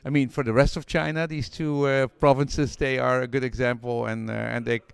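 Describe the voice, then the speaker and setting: A male interviewer with a smooth, clear voice that is recorded close and dry. He asks casual questions and keeps summing up his guest's points. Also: medium pitch, flat delivery, normal speed, dry recording. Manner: summarizing, leading questions. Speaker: interviewer and channel host.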